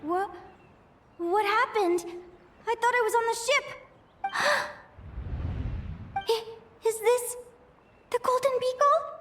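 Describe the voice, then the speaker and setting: A woman voicing a gentle, earnest and anxious young woman explorer in a video game, with a wistful, hesitant manner. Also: very high-pitched, slow, pauses often, a little hiss or hum, heavily acted. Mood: anxious, bewildered.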